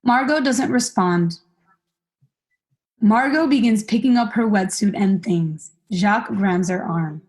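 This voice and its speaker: A woman's voice: mid-range voice, unhurried, darkish tone, low-quality recording. A young woman reading stage directions as scripted narration for a student radio play. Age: young adult.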